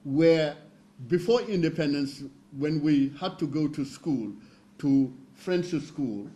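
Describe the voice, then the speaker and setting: A man from northern Senegal speaking as a panelist at a roundtable, explaining slowly and carefully with fillers and restarts. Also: fairly high pitch, animated, dark tone. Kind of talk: roundtable talk.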